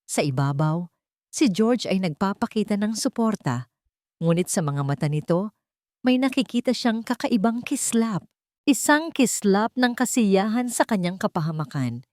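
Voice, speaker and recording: A woman's voice: mid-range voice, animated, moderate pace, a little mellow, no echo, studio quality. A woman narrating a scripted romance story in a dramatic storytelling style.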